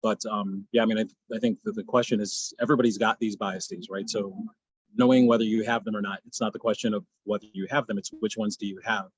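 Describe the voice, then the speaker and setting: Guy on a panel call, talks fast in long run-on thoughts, kinda husky, dry mic. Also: on the low side, fairly even intonation, no echo, low-quality recording. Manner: rapid, thinking aloud in long run-on sentences. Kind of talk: panel discussion.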